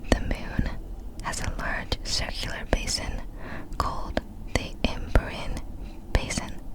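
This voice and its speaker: A woman narrates facts in ASMR style, entirely in a breathy whisper. She goes very slowly and deliberately, leaving gentle pauses between short phrases. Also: low-quality recording. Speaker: ASMR creator.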